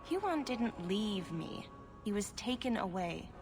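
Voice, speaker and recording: An actress playing a timid, sad elven seamstress in a video game, speaking hesitantly and deferentially. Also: female voice, mid-range voice, big pitch swings, slow pace, music in the background. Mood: sorrowful, fearful. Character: timid, sorrowful elven seamstress, polite abandoned wife.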